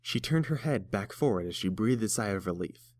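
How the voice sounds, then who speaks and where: A man's voice: lowish voice, animated, brisk, darkish tone, no room sound, studio quality. A man reading scripted story narration as the narrator.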